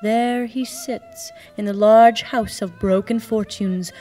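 An actress playing a solemn, commanding spirit in a live radio drama, speaking in a formal, measured, probing way. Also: female voice, fairly high pitch, steady tone, dark tone, faint music behind. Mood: calm, solemn. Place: auditorium stage, live performance.

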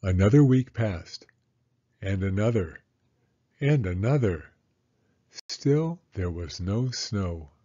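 A man narrating a children's read-aloud story in an expressive, deliberate manner. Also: on the low side, lo-fi sound.

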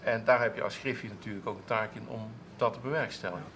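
A man's voice: fairly low pitch, quick pace, a bit bright, slight background noise. A man in his thirties, a council clerk, answering interview questions in a TV studio with many restarts and fillers. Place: TV studio with seated audience, at a table.

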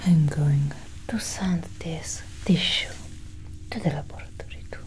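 A young woman playing a calm, condescending female alien scientist in a soft-spoken ASMR roleplay, whispery and soothing, close to the mic. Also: sits low, poor audio. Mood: gently approving. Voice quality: whispery, breathy. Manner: slow, hushed, reassuring. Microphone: close ASMR microphone.

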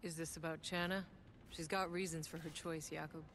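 A woman's voice: low-pitched, little pitch movement, normal speed, slight background noise. A woman playing a tough, blunt mercenary leader in a video game. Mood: frank, weary. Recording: loud game ambience behind.

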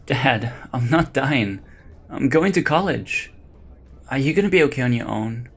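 A man's voice: medium pitch, lively intonation, a little slow, creaky, a bit bright, breathy edge, pitched above the performer's own voice, heavily acted. A man acts out an earnest young son just starting college. He is devoted and anxious, speaking in an everyday mid-range voice in an audio drama. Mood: determined. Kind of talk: amateur audio drama acting.

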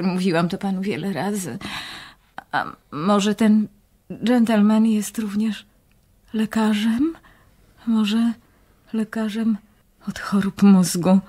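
A woman acting as a troubled, dreamy nun in a radio play, halting and fragile, with a breathy, often whispering voice. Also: medium pitch, darkish tone. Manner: halting, haunted.

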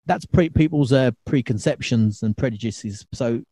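A man's voice: medium pitch, moderate pace, warm tone, slightly rough, dry recording. A man in his thirties hosting a podcast from a small home studio, laid-back and laughing along as he asks loose, rambling questions. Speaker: podcast host. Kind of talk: casual interview chat. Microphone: studio mic with headphones.

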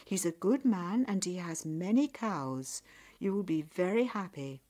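Woman narrator as proud father, folk tale, firm, reassuring, animated delivery. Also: fairly low pitch, measured pace. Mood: pleased, firm. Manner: reassuring, decided.

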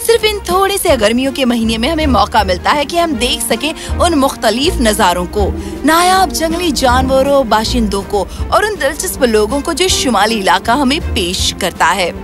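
A woman voicing a brisk, cheerful young cruise ship announcer in a cartoon, giving a tour announcement. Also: high voice, sing-song. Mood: upbeat, promotional. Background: music.

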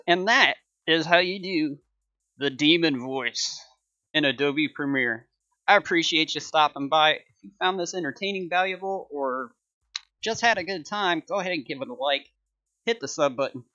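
A man talks through a software tutorial in an informal, upbeat way. His voice is bright and somewhat high. The sound is close and dry, a little lo-fi. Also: moderate pace, dry recording. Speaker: tutorial host.

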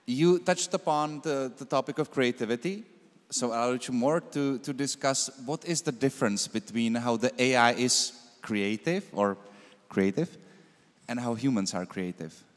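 A man's voice: mid-range voice, normal speed. A man informally moderating a question and answer session from the stage. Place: large wooden concert hall with audience. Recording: echoey concert hall.

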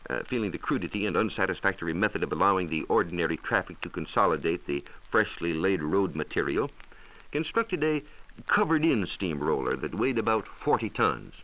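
An American man explaining science in a measured, formal way, as a reporter on a 1940s radio program. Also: low voice, bright, forceful tone, poor audio. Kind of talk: 1940s radio science talk, part scripted answers. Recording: 1940s radio transcription disc.

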